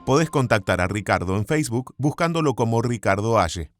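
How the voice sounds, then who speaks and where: A man's voice: mid-range voice, expressive, fairly quick, over loud music. An Argentine man hosting a podcast interview in a casual, conversational way.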